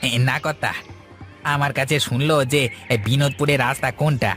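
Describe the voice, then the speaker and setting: A man acts as an eager village lackey in a cartoon. He speaks at an average male pitch with an emphatic, servile informant's manner. Faint music plays underneath. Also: fairly even intonation, fairly quick, a bit bright, close to an everyday voice. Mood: anxious, deferential. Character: anxious, eager village henchman reporting to his master. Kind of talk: Bengali moral-story cartoon dubbing.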